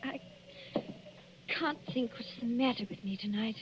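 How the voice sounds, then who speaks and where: An actress playing a tense, defensive young wife who hides her unease, with a husky voice and clipped, guarded replies in a 1940s radio drama. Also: on the high side, measured pace, slight background noise, natural voice. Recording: dull, muffled old radio recording.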